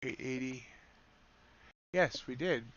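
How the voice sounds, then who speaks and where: Bright-voiced man calling market moves. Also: mid-range voice, takes it slowly, lo-fi sound. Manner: thinking aloud, correcting himself mid-sentence. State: slightly raspy from streaming for hours. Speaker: streamer, day trader. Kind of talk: live trading commentary.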